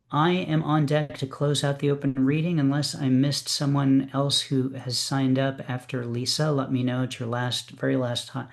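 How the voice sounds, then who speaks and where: A man's voice: mid-range voice, creaky. A man hosting an online poetry reading, speaking with many fillers and restarts. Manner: warm, hesitant, many fillers. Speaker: host. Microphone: video call.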